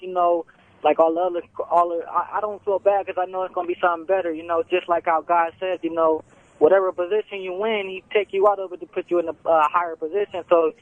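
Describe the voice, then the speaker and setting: A young man in his twenties calls in over a thin, tinny phone line. His voice is high, and he stumbles through restarts and fillers in an earnest way. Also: dry recording. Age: 20s. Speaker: caller. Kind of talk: casual phone call.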